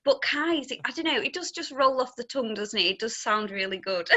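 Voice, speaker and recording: Yorkshire woman doing a chatty music interview, gushing, voice a bit high and really bright. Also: lively intonation, normal speed, muffled recording. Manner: chatty, effusive.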